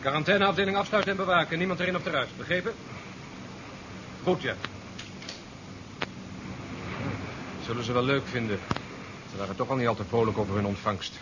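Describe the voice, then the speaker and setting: An actor playing a brisk, practical naval subordinate who questions his orders, in a 1970s science fiction radio drama. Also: mid-range voice, lightly acted. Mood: dutiful. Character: brisk, doubting male naval subordinate officer. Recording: dull, noisy 1970s radio recording.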